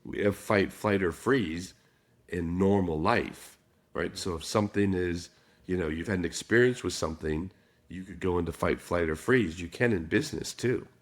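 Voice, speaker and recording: A man with a raspy voice, a guest on a podcast, explaining his ideas calmly. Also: low voice, moderate pace. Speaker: guest, trauma specialist. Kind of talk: casual podcast interview.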